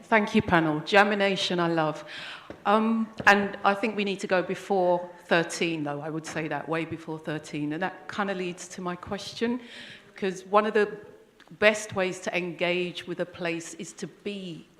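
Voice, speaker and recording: Woman at the audience mic, lowish voice, warm and reflective. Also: steady tone, normal speed. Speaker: audience member asking a question. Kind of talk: conference floor question. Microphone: handheld microphone.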